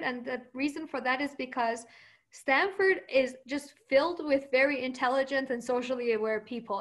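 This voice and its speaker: A woman's voice: high-pitched, fairly bright tone. A woman whose first language is Persian, answering interview questions in a confident, upbeat way and laughing often.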